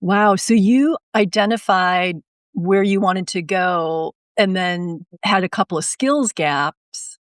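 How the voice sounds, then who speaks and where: A woman's voice: on the low side, no echo, studio quality. A woman hosting a live video interview, speaking candidly and chattily as she frames her questions. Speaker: host. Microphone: video call.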